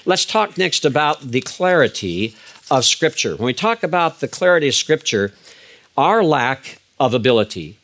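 An older man giving a lecture as a biblical Hebrew teacher, measured and emphatic, with frequent fillers and restarts. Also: mid-range voice, very animated, fairly bright tone. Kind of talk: recorded lecture.

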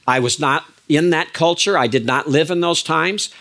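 An older man giving a lecture as a biblical Hebrew teacher, measured and emphatic, with frequent fillers and restarts. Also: fairly high pitch, sharp tone. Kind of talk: recorded lecture.

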